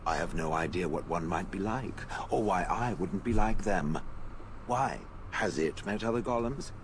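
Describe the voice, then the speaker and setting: An actor playing a sardonic stone golem from a fantasy video game, haughty and contemptuous of people. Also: male voice, deep voice, lively intonation, noisy background. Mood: defensive, haughty. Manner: haughty, sardonic, deliberate phrasing.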